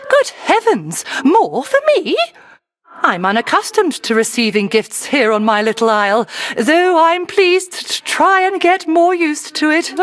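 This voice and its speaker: Casting: dreamy island witch for a game, high voice with swooping melody, doting and genteel. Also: exaggerated voice. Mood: gracious, pleased. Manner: unhurried, lilting, old-fashioned genteel phrasing. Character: whimsical sorceress aunt living alone on her isle, doting, dreamy. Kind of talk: theatrical video game character acting.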